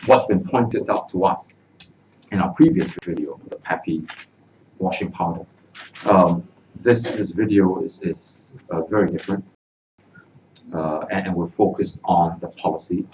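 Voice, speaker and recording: A man speaking earnestly at a press conference, halting and repeating words as he makes his case. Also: sits low, darkish tone. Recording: distant mic, dull, noisy room.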